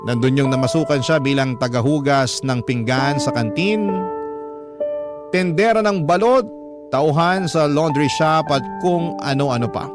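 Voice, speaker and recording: Male radio storyteller, slightly high voice, dramatic scripted first-person narration, music bed. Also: measured pace.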